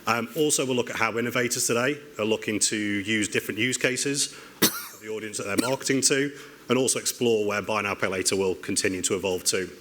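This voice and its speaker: Bright-voiced man moderating a panel. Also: on the low side, brisk. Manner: hesitant, frequent ums and restarts. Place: conference stage with audience.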